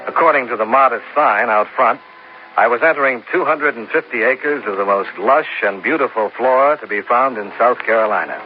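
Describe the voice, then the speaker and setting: A male actor as a cool, wry detective from old radio, speaking in a medium-pitched voice. He is dry and hardboiled. The sound is muffled under clear noise. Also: a little fast, natural voice. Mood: dry, narrating. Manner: dry, matter-of-fact. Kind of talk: 1950s radio detective drama, hardboiled narration.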